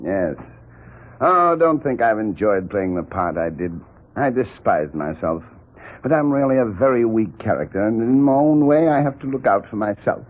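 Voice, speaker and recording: A weaselly, greedy blackmailer from a 1940s radio play. His husky voice slides all over in pitch as he oozes false politeness and theatrical self-regard. The sound is narrow and tinny, like an old broadcast. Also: expressive, moderate pace. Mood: oily, self-pitying. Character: oily, cowardly, greedy male blackmailer with mock-polite manner. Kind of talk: 1940s radio mystery acting.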